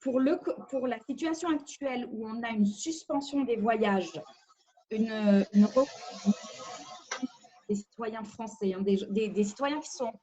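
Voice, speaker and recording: A woman's voice: on the high side, measured pace, warm tone, low-quality recording. A woman from France, an immigration lawyer, giving a webinar presentation over a video call, explaining carefully with frequent hesitations.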